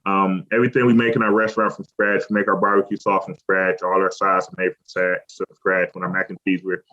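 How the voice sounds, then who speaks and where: A man who owns a restaurant, talking in a relaxed, rambling way with lots of fillers as an interview guest, from Cleveland. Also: deep voice, fast. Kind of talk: casual interview talk. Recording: lo-fi muffled audio.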